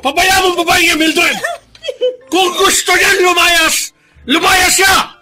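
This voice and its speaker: A man's voice: very high-pitched, steady tone, a little slow, shouting, over loud music. A man playing a hot-tempered, hard-hearted Filipino father and jeepney driver in a radio drama, harsh, scornful and domineering. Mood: furious.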